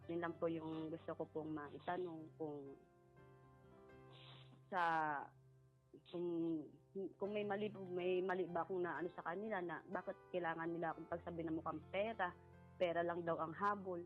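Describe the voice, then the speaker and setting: Low-voiced woman, halting and polite. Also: fairly even intonation. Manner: polite, halting, repeats herself. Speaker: caller seeking advice. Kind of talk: personal account in conversation. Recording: muffled lo-fi voice over background music.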